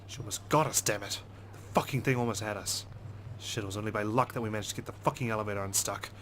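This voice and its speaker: A low-pitched man reads visual novel narration aloud as a let's play host. He reads expressively and swears often, adding his own side comments. There is noticeable noise behind his voice. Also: quick pace, a bit bright.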